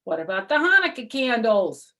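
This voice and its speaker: A woman's voice: fairly high pitch, very animated, normal speed, fairly bright tone, pitched above the performer's own voice. A woman voicing a sullen, greedy male goblin in a storybook, grumbling and emphatic. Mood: grumpy.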